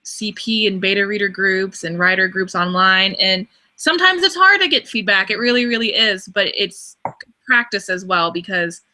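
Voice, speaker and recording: A woman's voice: mid-range voice, normal speed, sharp tone. A young woman co-hosting a podcast, chatting casually and upbeat. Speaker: podcast co-host. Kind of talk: casual chat.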